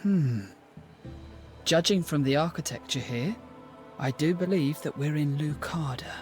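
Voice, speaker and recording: Urbane, higher-voiced gentleman spirit played by a man. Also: a little slow, faint music behind, close to an everyday voice. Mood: urbane, musing. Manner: genteel, articulate, musing. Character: urbane, worldly male warrior spirit, former merchant. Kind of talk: fantasy video game voice acting.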